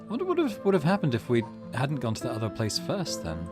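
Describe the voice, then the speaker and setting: A man's voice: medium pitch, wildly swooping pitch, fast. A man doing let's play commentary on a video game, thinking aloud in muttered asides. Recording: music behind the voice. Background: game music.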